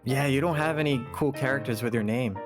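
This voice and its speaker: A man's voice: medium pitch, a little fast. A man co-hosting a gaming podcast, chatting casually and often repeating his phrases. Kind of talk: casual chat during a game let's play. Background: music playing behind the voice.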